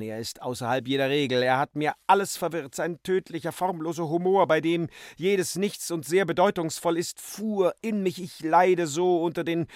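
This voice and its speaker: A man's voice: fairly high pitch, no echo, studio quality, pitched above the performer's own voice, close to an everyday voice. A man voicing a tormented female circus acrobat in a rapturous, agitated, declaiming manner for a literary radio drama. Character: feverish, tormented female circus acrobat obsessed with a phantom lover.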